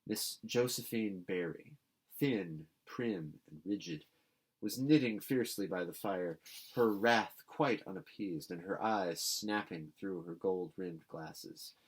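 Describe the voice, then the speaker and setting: A man reading a classic novel aloud as an expressive storyteller. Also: sits low, takes it slowly, breathy edge, poor audio. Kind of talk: expressive literary narration.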